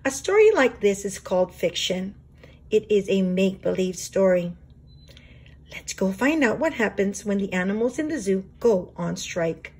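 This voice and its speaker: A woman reading a children's storybook aloud as a teacher would, expressive and explanatory. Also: medium pitch, moderate pace, husky.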